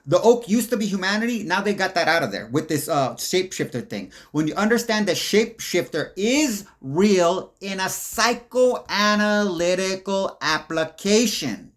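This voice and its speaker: A man's voice: high voice, expressive, normal speed, hardly any pauses, fairly bright tone. A man hosting a rambling video commentary who talks in a profane, aggressive way. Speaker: commentary host.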